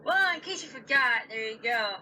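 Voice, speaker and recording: A woman with a very bright, high voice chimes in with casual banter. Her pitch is lively, and there is faint noise in the background. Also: moderate pace, distant mic. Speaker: host's companion, chiming in.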